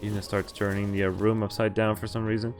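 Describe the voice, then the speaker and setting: A man's voice: lowish voice, little pitch movement, fast talker, music in the background. A man streaming a visual novel, reading the game text aloud in a half-acted way and breaking off for wry, casual asides.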